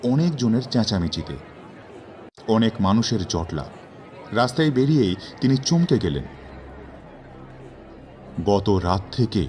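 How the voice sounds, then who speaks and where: A man narrating a horror story in a dramatic, suspenseful way with measured, theatrical phrasing. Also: on the low side, darkish tone, slight background noise. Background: background music.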